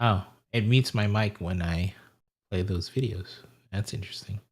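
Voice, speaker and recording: A man's voice: lowish voice, moderate pace. Chatty man thinking aloud on a live stream. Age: adult with a wife and family. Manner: rambling, thinks aloud while fiddling with stream settings. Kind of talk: casual live-stream chat.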